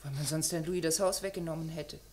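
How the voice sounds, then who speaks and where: A woman's voice: deep voice, quick pace, darkish tone, natural voice. An actress playing a wistful, loyal, guarded unmarried woman over forty in a mid-century radio crime drama, speaking with restraint. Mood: defensive, earnest. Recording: noisy old radio drama recording.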